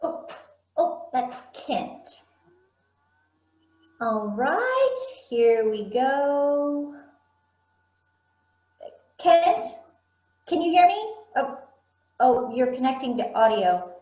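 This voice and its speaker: Woman reading flustered forties lady, high slow voice, stammery. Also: sing-song, dark tone, roomy sound, full character voice. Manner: hesitant, stammering. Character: chipper, flustered woman in her forties, meeting host. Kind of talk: staged play reading over video call.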